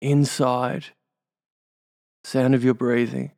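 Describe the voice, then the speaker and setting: A man's voice: medium pitch, flat delivery, takes it slowly, a little mellow, clean tone, no room sound, studio quality. A man with an Australian accent leading a guided hypnosis induction, giving soothing suggestions and tag questions.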